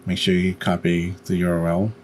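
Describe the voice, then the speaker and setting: A man giving a step-by-step software tutorial in a halting way, pausing in mid-sentence. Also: low-pitched, monotone, slight background noise. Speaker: tutorial host.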